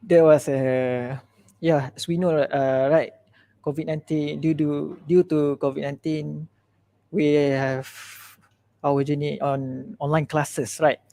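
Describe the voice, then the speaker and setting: Gravelly, dark-voiced panel moderator. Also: mid-range voice, level tone, takes it slowly, no echo. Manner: hesitant, polite, filler-heavy. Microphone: video call.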